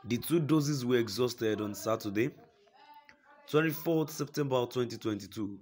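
A man reading a news report aloud in a steady, formal way, quoting a statement at length. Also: medium pitch, moderate pace. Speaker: news reader.

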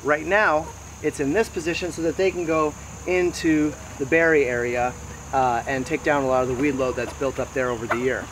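A man in his thirties giving a casual, explanatory walking tour to camera, pausing now and then mid-sentence. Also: fairly high pitch, steady tone, a bit bright. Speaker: host giving a garden tour. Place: outdoors in a wooded backyard garden. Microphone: camera mic several meters away. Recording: distant camera mic, noisy outdoor ambience. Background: insects and outdoor noise.

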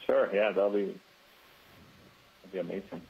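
Deep-voiced man on muffled link. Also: measured pace, gravelly, breathy edge. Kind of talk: casual live remarks. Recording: muffled lo-fi link, faint hiss.

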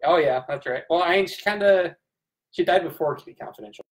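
A man's voice: a little high, brisk. Guy in his 30s just chatting at home, amused sidekick vibe, bit teasing. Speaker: host's brother, sidekick. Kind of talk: casual chat. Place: room at home, sitting back from the camera.

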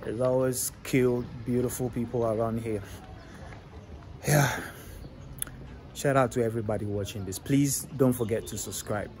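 A man's voice: medium pitch, steady tone, normal speed, pauses often. A young man talking casually to viewers while walking a town street, filming himself on a phone at arm's length and often asking them to subscribe. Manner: chatty, repeats shout-outs and asks viewers to subscribe. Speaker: travel vlogger. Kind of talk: casual walking-tour vlog. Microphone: phone camera held at arm's length. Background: traffic and street noise.

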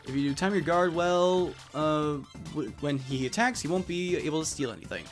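A man's voice: on the high side, normal speed. A man giving chatty, rambling, casual commentary as he plays a video game. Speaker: let's player. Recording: music behind the voice. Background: game music.

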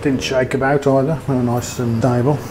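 A man's voice: mid-range voice, flat delivery, a little fast, noisy background. A man giving a casual, rambling motorbike review, with fillers and hesitations. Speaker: motovlogger.

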